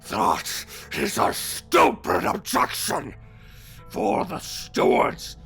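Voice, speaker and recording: A man playing an ancient, sinister, scornful creature in a fantasy audio drama, with a whispery growling rasp and slow, theatrical formal speech. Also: high-pitched, wildly swooping pitch, pushed and bright, faint music behind, exaggerated voice. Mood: scornful, declaiming.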